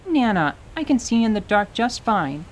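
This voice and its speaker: A woman playing a cheeky, stubborn young tiefling girl in radio theater, with a playful, sassy deadpan manner. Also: fairly high pitch, normal speed, a little hiss or hum. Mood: defiant. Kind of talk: dramatized radio theater acting.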